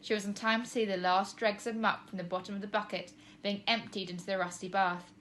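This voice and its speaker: A woman narrating a children's storybook as a teacher reading aloud, with expressive, emphatic storytelling. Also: medium pitch, fairly quick, a little hiss or hum.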